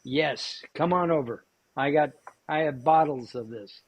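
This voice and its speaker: A man's voice: a little high, unhurried, husky. A man hosting a cooking show, chatting casually with lots of fillers and restarts. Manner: rambling, many fillers and restarts.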